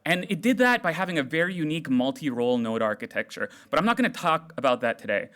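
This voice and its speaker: Man, stage speaker, loud and playful with the audience, higher register. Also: fast. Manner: loud, animated, jokey with the crowd. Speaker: developer relations speaker. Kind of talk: energetic live tech talk. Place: large room at a hackathon event.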